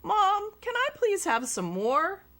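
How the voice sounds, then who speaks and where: A whiny little boy from a storybook who is always starving, his voice pitched up high and squeaky, as a woman reads him aloud. He pleads and begs in a bouncy, lively sing-song. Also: slow, full character voice. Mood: pleading.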